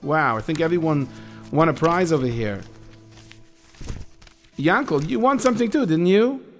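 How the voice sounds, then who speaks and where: An actor playing a stern but caring camp counselor who scolds the boys with authority in a children's audio drama. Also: male voice, a little high, animated, moderate pace, faint music behind. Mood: firm.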